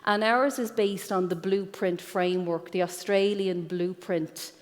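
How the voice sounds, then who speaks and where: An Irish woman giving an explanatory conference presentation as a guest speaker, pausing often with ums. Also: lowish voice, steady tone. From Ireland. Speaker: guest speaker, careers guidance professional. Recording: echoey hall with long reverb.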